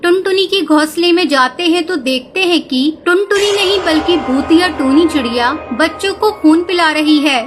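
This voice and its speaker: A woman's voice: very high-pitched, moderate pace, few pauses, music in the background. A woman narrating a scripted cartoon story as its storyteller.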